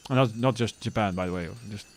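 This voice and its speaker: A man in his thirties, streaming live and chatting casually with viewers in a relaxed, rambling way. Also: fairly low pitch, fast talker, slight background noise.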